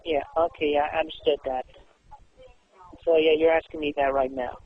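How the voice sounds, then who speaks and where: A man talking casually on the phone in a halting, repetitive way. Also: a little high, little pitch movement. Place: telephone call. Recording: tinny narrowband phone line.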